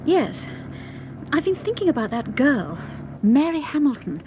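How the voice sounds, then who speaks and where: An actress playing a poised, inquisitive, affectionate detective's wife in a refined, expressive manner, in vintage British radio drama style. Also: female voice, high-pitched, unhurried, muffled recording, noisy background. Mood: musing.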